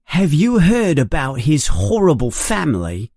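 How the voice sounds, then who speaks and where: A man's voice: a little high, wildly swooping pitch, measured pace, darkish tone, no room sound, studio quality, pitched above the performer's own voice, exaggerated voice. A man voicing an indignant, loyal schoolboy in an audiobook, sounding earnest and resentful. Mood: angry.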